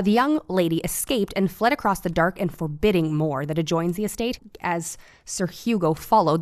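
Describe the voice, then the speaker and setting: An actress playing an earnest, eccentric Victorian woman doctor in an audio drama, telling things in a formal, deliberate way. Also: lowish voice, dry recording, close to an everyday voice.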